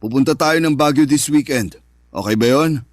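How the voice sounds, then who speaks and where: An actor playing a jealous, controlling, moneyed boyfriend with a stern, commanding manner in a Tagalog radio drama. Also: male voice, a little high, normal speed, dry recording, studio quality, close to an everyday voice. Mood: conciliatory.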